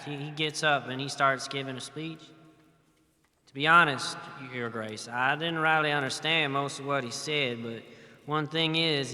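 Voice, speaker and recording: A rough country commoner in a fantasy audio drama. His voice is very bright and mid-pitched, folksy and stumbling, humbly deferential. It rings with the long reverb of a great hall. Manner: stammering, folksy, ungrammatical.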